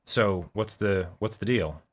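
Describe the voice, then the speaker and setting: A man's voice: on the low side, takes it slowly. A man chatting casually as a show co-host, enthusiastic and rambling, often checking for agreement as he goes. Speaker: co-host of an anime reaction show. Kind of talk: casual conversational discussion.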